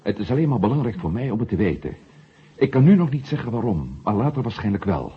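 A man acting in a 1970 radio drama as a discreet, probing family friend, speaking hesitantly with pauses, on an old recording. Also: fairly low pitch, very animated, slightly rough, highs cut off, full character voice. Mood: probing, earnest.

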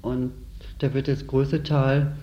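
A man, a store manager, calmly explaining things in an interview. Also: mid-range voice. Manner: measured, explanatory. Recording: roomy, dull lo-fi recording.

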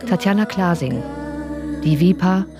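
Woman radio announcer reading credits, unhurried and lowish, loud music underneath. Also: lively intonation.

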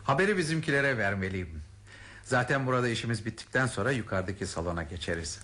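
A man's voice: medium pitch, lively intonation, fast talker, sharp tone, husky. A man in a radio drama playing a courteous, worried older male aristocrat, with formal and hesitant speech. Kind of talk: 1970s radio drama acting. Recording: radio drama with music behind.

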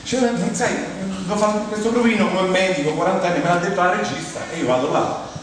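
A man's voice: sits high, a little fast. A middle-aged man with a Roman Italian accent performs a self-mocking comic monologue in a colloquial, theatrical way, full of asides. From Rome, Italy. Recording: very echoey hall, distant mic, clear noise.

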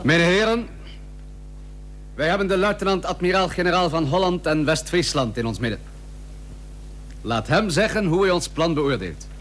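A man's voice: a little high, animated, moderate pace, long pauses, fairly bright tone. A man playing a formal presiding chairman in a historical radio drama, speaking ceremoniously. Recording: old radio recording with hum.

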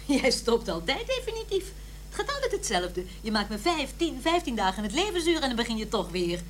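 An actress in a radio play playing an exasperated, sharp-tongued wife with a nagging, sardonic manner. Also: female voice, a little high, sing-song, brisk. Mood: exasperated, scolding. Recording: faint noise and hum.

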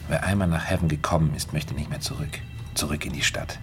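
An actor playing a smooth, controlling coach and psychologist in a German radio drama, speaking in measured, deliberate phrases with weighty pauses. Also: male voice, a bass voice, fairly even intonation, music in the background. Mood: quietly menacing. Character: smooth, controlling male studio coach and psychologist, insinuating mentor.

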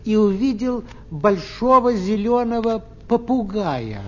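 A woman's voice: on the high side, expressive, slow pace, darkish tone, lo-fi sound. A woman narrating a children's story in a storytelling style.

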